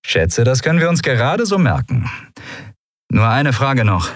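A man voicing a cocky young male pirate who talks in a smug, teasing way, with a husky voice. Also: mid-range voice, big pitch swings, measured pace, no echo, studio quality, pitched above the performer's own voice. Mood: breezy.

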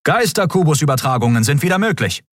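A man voicing a male communications officer who makes crisp announcements. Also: a little high, very animated, quick pace, dry recording, clean studio sound, pitched above the performer's own voice.

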